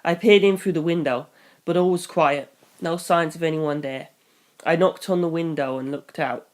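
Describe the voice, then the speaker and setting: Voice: mid-range voice, normal speed. A reader narrating a first-person action story in a storytelling style. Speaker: audiobook reader.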